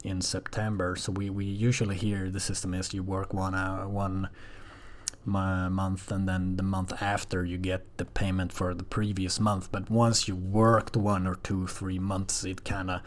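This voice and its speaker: A man with a low voice talks off the cuff, as if keeping a spoken diary. His delivery is casual and halting, wandering from thought to thought in plain, sometimes sweary language. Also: level tone. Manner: hesitant, halting, frequently swearing. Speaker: audio log vlogger. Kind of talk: casual rambling personal audio log.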